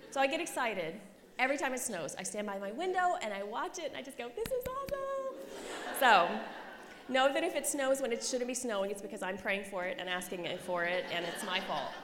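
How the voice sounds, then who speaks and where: A woman's voice: fairly high pitch, lively intonation, brisk, crowd noise. A woman in her forties from the United States, giving a chatty, self-deprecating conference sermon to a live audience, often checking in with "right?" and "okay?". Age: 40s. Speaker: conference speaker, pastor's wife. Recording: reverberant hall, faint room noise.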